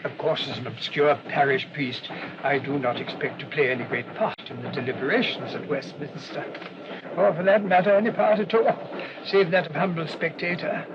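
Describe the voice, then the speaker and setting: An actor playing a humble, earnest English parish priest in a radio play, mild, hesitant and formally wordy. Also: male voice, a little high, moderate pace, natural voice. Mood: humble, chatty. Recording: dull, noisy old radio recording.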